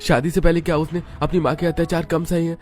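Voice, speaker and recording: A man's voice: fairly high pitch, little pitch movement, fast, darkish tone, soft background music, lightly acted. A man playing a protective, indignant young newlywed son in a cartoon melodrama.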